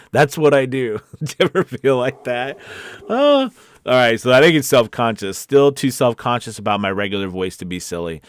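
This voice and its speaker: A man's voice: mid-range voice, no room sound. Casual, upbeat American man, close dry sound. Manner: upbeat, encouraging, informal. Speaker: voice-over coach and host. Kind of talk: casual livestream chat, reading and answering viewer comments.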